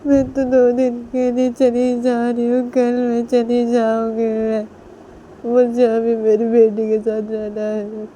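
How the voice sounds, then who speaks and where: A clingy young mother played by a woman storyteller pleads in short, insistent, repeated phrases. Her voice is whiny and sobbing, lifted well above a normal register, with steady noise behind it. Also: a little high, takes it slowly, pitched above the performer's own voice, rough recording. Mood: tearful refusing.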